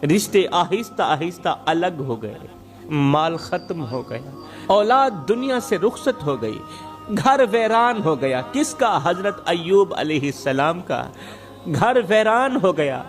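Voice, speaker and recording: A man delivers an emotive sermon in a high voice that rises and falls sharply. He speaks rhetorically, restating phrases for dramatic emphasis as he tells a moral story. Music plays behind him. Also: big pitch swings, a little slow. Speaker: Islamic preacher and storyteller. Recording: background music under the voice.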